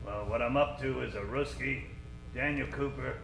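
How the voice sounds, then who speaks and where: A man's voice: medium pitch, normal speed, gravelly, a bit bright. An actor playing a wisecracking, easily flustered private detective in a parody of old-time radio drama, talking in tough-guy slang. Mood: wry, tough. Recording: roomy live stage recording with music.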